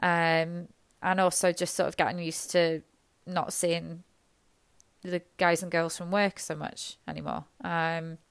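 Hoarse nurse with a gravelly voice, smooth underneath, rambling, self-deprecating monologue. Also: female voice, lowish voice, flat delivery, unhurried, no room sound. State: hoarse from illness. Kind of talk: reflective personal monologue.

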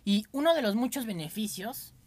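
A man's voice: high-pitched, lively intonation, normal speed. Candid Mexican man, rambling podcast chat.

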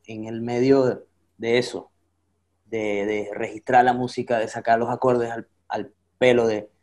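A man's voice: mid-range voice, unhurried. A man, a guest chatting casually and easily, with a child of his own. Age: adult with a child. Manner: relaxed, chatty, many fillers like "este". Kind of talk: casual conversation.

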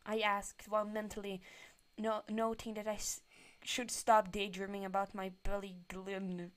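A woman's voice: mid-range voice, monotone, moderate pace. A young woman reading a fanfiction aloud with a friend, incredulous and tripping over words. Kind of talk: casual comedic reading.

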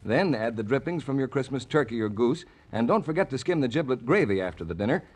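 A man's voice: medium pitch, sing-song, fast talker, darkish tone. A man announcing for a 1940s radio program with polished, emphatic, scripted delivery. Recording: 1940s radio broadcast with music.

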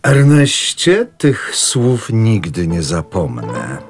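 A man's voice: lowish voice, huge pitch swings, slow, creaky, soft background music, full character voice. An actor playing an envious, touchy wealthy man in a radio play. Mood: offended.

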